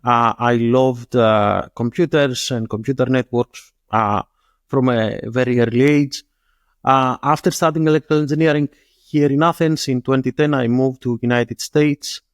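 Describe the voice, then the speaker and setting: Greek guy giving interview answers, kinda halting n explanatory, mid pitch, very dry recording. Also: steady tone, no echo. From Greece. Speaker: startup founder and interview guest.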